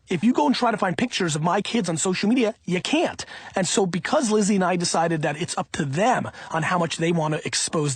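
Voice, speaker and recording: A man reading an audiobook in the first person, in an emphatic, punchy, conversational way with a husky voice. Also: high voice, no room sound. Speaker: audiobook reader.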